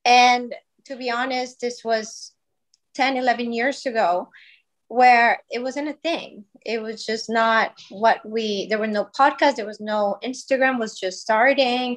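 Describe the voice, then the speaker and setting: A woman in her thirties from Colombia talks as a podcast guest, with a voice pitched a touch high. She speaks in a chatty, grateful way, leaning on fillers as she tells her story. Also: steady tone, unhurried. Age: 30s. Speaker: guest, wellness brand founder. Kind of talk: podcast interview, personal story.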